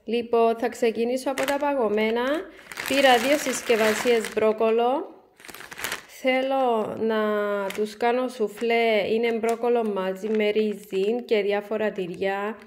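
A woman's voice: on the high side, steady tone, a little hiss or hum. A woman, chatting to camera and showing her groceries one by one in a casual home vlog. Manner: chatty, warm sign-off, item-by-item show and tell. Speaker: vlogger. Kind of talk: casual supermarket haul vlog. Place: bright room by a window, indoors.

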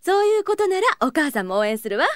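A woman playing a kindly, amused mother of teenagers in an anime-style audio drama, motherly and gently chiding. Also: high-pitched, sing-song, fast, no room sound, clean studio sound. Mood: encouraging.

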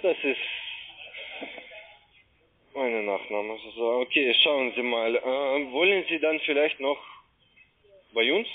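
A man speaking non-native German as a call centre agent, making a halting, repetitive sales pitch over the telephone. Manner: halting, repeats himself. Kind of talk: phone sales talk. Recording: muffled narrowband phone line.

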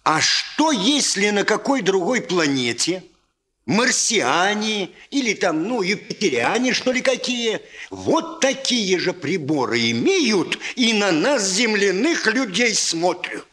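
A man's voice: high voice, very animated, takes it slowly, pushed and bright, exaggerated voice. An actor playing a chatty, homely elderly father who grumbles and marvels in a folksy, rambling way, in a 1960s radio play. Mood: musing wonder.

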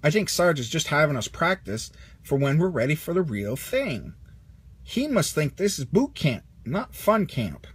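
A man voicing a sensible female toy shepherdess in a storybook, explaining gently and reassuringly. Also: fairly high pitch, sing-song, normal speed, breathy edge, dry recording.

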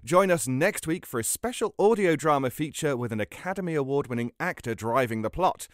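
A man's voice: fairly high pitch, expressive, brisk, no echo, studio quality. A man hosting a show and reading a scripted sign-off. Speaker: show host.

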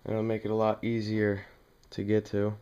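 A man giving a casual hands-on tutorial at home, talking plainly and haltingly as he works. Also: fairly low pitch, monotone, mellow, clear, resonant tone, muffled recording. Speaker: demonstrator in a home how-to video. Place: small dim room indoors at home.

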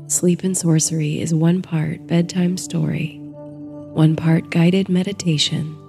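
A woman narrating a bedtime sleep story and guided meditation in a soothing, gentle, hushed and measured way. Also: deep voice, level tone, gravelly, faint music behind.

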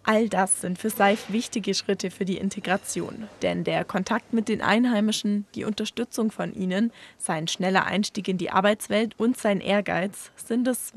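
A woman reporter reading a scripted news voice-over in a clear, measured way. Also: mid-range voice.

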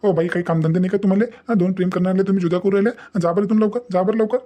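An impatient, dismissive young lover from a cartoon moral tale , acted out by a man. The voice is mellow and dark but talks fast. He speaks rural Varhadi Marathi and keeps pressing the same commands. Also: high voice, fairly even intonation, lightly acted. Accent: rural Maharashtra, Varhadi Marathi. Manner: impatient, insistent, repeats commands. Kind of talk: dramatized dialogue for a cartoon moral story.

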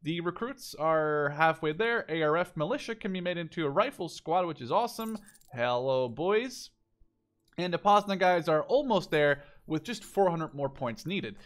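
A man's voice: on the high side, expressive, moderate pace. Casual young man doing let's play commentary. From Canada or Netherlands. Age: 20s. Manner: thinks out loud in short phrases, talking to himself. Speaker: gaming YouTuber playing and commentating.

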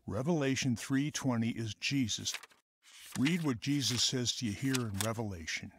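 An actor playing a fatherly older mentor with a deep Southern drawl in a radio drama. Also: background noise, natural voice. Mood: earnest. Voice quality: deep drawl, slow, husky.

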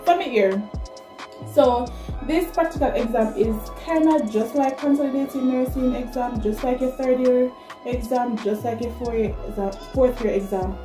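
A woman's voice: a little high, normal speed. A woman in her twenties with a Jamaican accent, chatting casually and directly to viewers as a vlogger. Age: 20s. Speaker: vlogger, nursing student. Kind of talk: casual vlog talk to camera. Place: small plain room indoors. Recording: echoey room, music behind. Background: background music.

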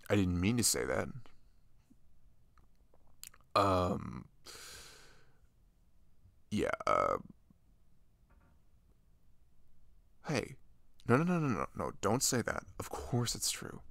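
Man acting a low, creaky, shy best friend. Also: huge pitch swings, slow, long pauses, no room sound, heavily acted. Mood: flustered, then earnest, reassuring. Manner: hesitant, stammering, gentle. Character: shy, sweet young male best friend, smitten and flustered. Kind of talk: ASMR roleplay, soft intimate comforting talk. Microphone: close microphone.

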